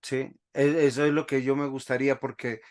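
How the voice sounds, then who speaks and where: A man, a pastor teaching a Bible class over a video call, speaking slowly and stopping often to ask the class questions. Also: mid-range voice, little pitch movement, darkish tone. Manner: slow, halting, asks the class questions often.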